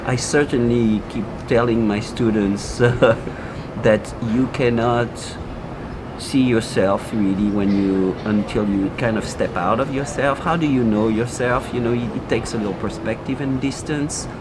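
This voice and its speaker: A male teacher giving a measured, reflective interview answer. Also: medium pitch, fairly even intonation. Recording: noisy outdoor recording with traffic.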